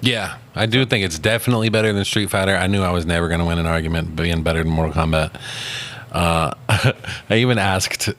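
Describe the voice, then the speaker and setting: A man with a very deep voice co-hosts a movie podcast. He speaks in a laid-back, informal way, as in casual conversation. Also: fairly quick, fairly bright tone. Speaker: podcast co-host. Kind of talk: casual movie review chat.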